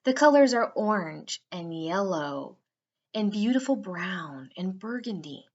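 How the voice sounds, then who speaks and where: A woman narrating a guided meditation for children in a soothing, unhurried way with drawn-out phrasing. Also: mid-range voice, expressive, darkish tone, highs cut off.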